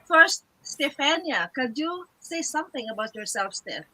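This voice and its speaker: A woman's voice: sits high, big pitch swings, moderate pace, sharp tone, low-quality recording. A woman hosting an online panel, introducing guests in a formal way and calling them sis. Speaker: host.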